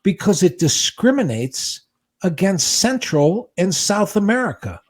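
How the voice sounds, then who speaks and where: Older male podcast co-host in his sixties, pitched a bit high, with a lively, swooping delivery and an indignant, exasperated edge. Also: measured pace. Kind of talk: casual podcast chat.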